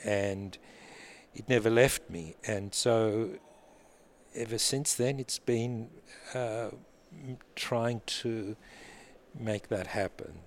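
Thoughtful Australian-accented abbot, low voice. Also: male voice, slow pace. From born in Egypt, raised in Australia. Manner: thoughtful, hesitant with many fillers. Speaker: abbot of a monastery. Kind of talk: conversational interview. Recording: faint background noise.

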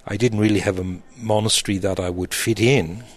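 A middle-aged man, an abbot speaking in an interview in a thoughtful, halting way with frequent restarts. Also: on the low side, fairly even intonation. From Egypt, raised in Australia. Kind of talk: reflective interview answers.

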